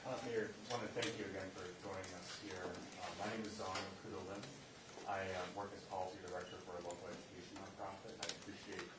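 Quick, flat male voice in loud room noise. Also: low-pitched. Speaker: audience questioner, nonprofit policy director. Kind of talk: introducing himself at a public meeting. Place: indoor meeting room, in the audience. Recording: very noisy echoey room, distant mic.

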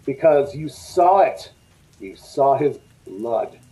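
A woman playing a smooth-talking, menacing male stranger in a 1940s-style radio drama, insistent and controlling. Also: very deep voice, expressive, slow, dark tone, heavily acted. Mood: menacing accusation. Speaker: actor in a radio play. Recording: dull video-call audio.

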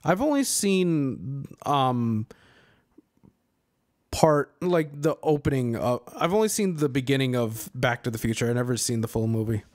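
A man in his twenties, tired and relaxed, answering chat questions in a rambling, casual live stream from a small room at home on a boom-arm mic. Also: unhurried. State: exhausted. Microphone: studio mic on boom arm, headset on.

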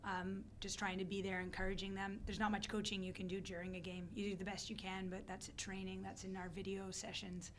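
A middle-aged woman head coach with a hoarse, worn-out voice, answering questions calmly at a postgame press conference on a desk mic. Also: medium pitch, brisk, hardly any pauses, a little hiss or hum. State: hoarse, losing her voice. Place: press conference room, seated at a table. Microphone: desk microphone on a stand.

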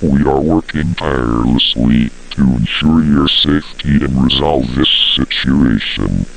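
A man voicing a grave, commanding emergency alert announcer with a solemn, flat delivery in a scripted horror broadcast. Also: a bass voice, a little slow, creaky, slight background noise, full character voice, low-quality recording. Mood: grave warning.

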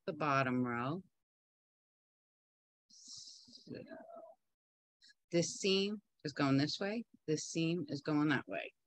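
A woman's voice: sits low, lively intonation, very slow, long pauses, no echo. A middle-aged woman teaching quilting in a casual, practical way, chatting as she pins and sews in her home sewing studio. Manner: chatty, practical, talks while working.

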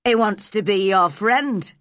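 Woman playing a gruff, blunt old gardener man. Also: medium pitch, very slow, fairly bright tone, dry recording, clean studio sound. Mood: amused. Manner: blunt, curt.

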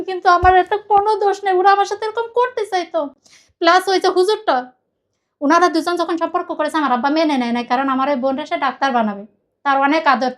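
A woman with a Bangladeshi accent gives a personal account in a very high voice. She talks quickly and emphatically, rambling and circling back over her own words as a guest telling her story. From Khulna, Bangladesh. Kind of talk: personal confessional account in conversation.

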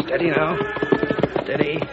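An actor playing a surly cattle-rustling cowboy with a curt, folksy drawl in a 1940s western radio drama. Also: male voice, fairly high pitch, level tone, a little slow, lightly acted. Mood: sly. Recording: noisy muffled 1940s radio recording.

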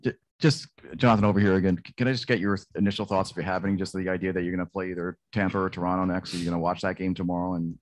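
A male reporter calls out his question in a low voice at a quick clip. He stumbles over the first words and restarts before getting it out. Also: little pitch movement. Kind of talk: press conference question.

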